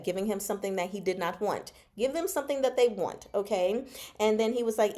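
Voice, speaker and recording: A woman with a mid-pitched voice hosts a casual book discussion. She retells scenes with slangy, emphatic delivery, slipping into characters' dialogue in her own voice. She often punctuates her points with short check-in questions. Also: darkish tone. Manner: punctuates points with "okay?" and "right?". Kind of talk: casual animated recap and commentary on a novel.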